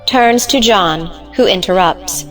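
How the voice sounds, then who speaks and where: A female narrator reads out the stage directions of an audio play. Her voice sits at an average pitch, with light music underneath. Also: slow, fairly bright tone.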